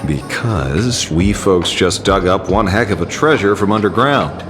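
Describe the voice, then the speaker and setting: A man's voice: deep voice, expressive, measured pace. A man voicing a wry, self-assured tough-guy detective in a video game, blunt and knowing. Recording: music behind the voice.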